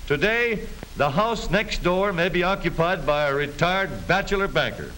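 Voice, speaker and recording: A man's voice: sits high, expressive, normal speed, bright, forceful tone. An American man delivering scripted 1930s newsreel narration in a jaunty, folksy showman's manner. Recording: hissy, noisy 1930s film soundtrack.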